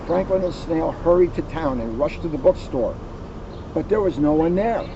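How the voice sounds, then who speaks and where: Muffled audio with heavy background noise carries a fairly high-voiced man reading a picture book aloud. He is plain-spoken and encouraging, talking straight to children between passages. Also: moderate pace. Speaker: police officer reading a children's story. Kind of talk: storybook read-aloud with casual chat.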